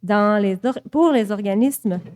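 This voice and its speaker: A woman's voice: medium pitch, a little slow, darkish tone. A woman with a Québécois accent, the acting mayor, chairs a city council meeting in a friendly, informal way. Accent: Québécois French.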